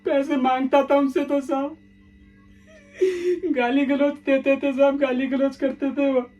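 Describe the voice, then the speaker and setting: A man's voice: very high voice, little pitch movement, a little slow, dark tone, muffled recording, soft background music. A man playing a beaten servant begging for mercy. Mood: desperate confession. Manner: pleading, repeating "sir" again and again. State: in pain from blows. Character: poor male servant accused of theft, begging. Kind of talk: dramatic acting monologue.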